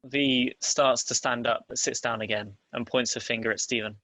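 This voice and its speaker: A man reads out script directions as narrator. His voice is mid-pitched, bright and forward, recorded dry with almost no room sound. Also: steady tone, moderate pace, dry recording, low-quality recording. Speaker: narrator reading stage directions. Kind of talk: scripted narration at table read.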